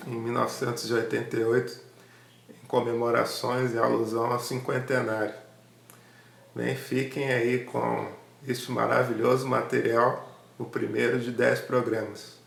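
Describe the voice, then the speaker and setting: A man's voice: medium pitch, little pitch movement, unhurried, vocal fry, roomy sound, a little hiss or hum. A man with a Brazilian Portuguese accent informally introducing archive audio as a channel host.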